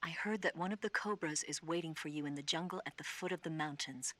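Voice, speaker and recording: Low-voiced, confident female spy. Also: fast, sharp tone. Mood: cool, urgent. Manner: assured, matter-of-fact. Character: cool, confident female spy briefing an agent. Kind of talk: video game radio-call dialogue acting.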